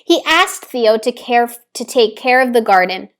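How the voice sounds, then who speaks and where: A woman narrates a picture book for young children. Her voice sits a little high, and she reads very slowly, with careful, deliberate phrasing. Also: animated, a bit bright. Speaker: storybook reader. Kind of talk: children's story read-aloud, scripted narration.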